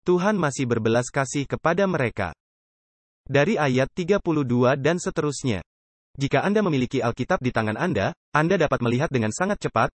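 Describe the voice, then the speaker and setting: A man's voice: mid-range voice, dry recording. A man preaching a dubbed sermon earnestly, with emphatic rhetorical questions put to his listeners.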